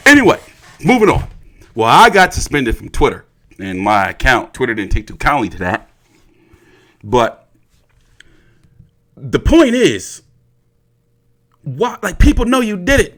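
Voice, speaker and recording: Animated, high-voiced man ranting casually. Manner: blunt, profane, repeats phrases. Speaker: host of a boxing livestream. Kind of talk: casual livestream chat, ranting commentary.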